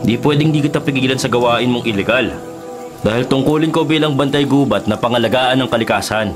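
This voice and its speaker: An actor with a Filipino accent playing a dutiful, righteous forest ranger in a Tagalog radio drama, earnest and firm. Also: male voice, mid-range voice, a little fast, lightly acted. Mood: stern. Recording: dramatic music behind the voice. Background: music.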